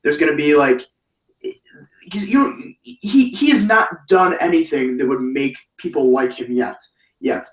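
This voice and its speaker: A man with a hoarse voice hosting a casual wrestling review, talking in an animated, rambling way with many fillers and restarts. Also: fairly high pitch, measured pace, roomy sound, far from the mic, rough recording. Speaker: wrestling podcast host.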